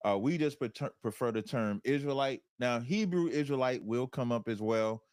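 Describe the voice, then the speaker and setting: A man's voice: medium pitch, moderate pace, a little mellow, smooth, no echo, clean studio sound. An American man speaking as a guest in a conversational debate, assertive and explanatory. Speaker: debate guest, religious group captain.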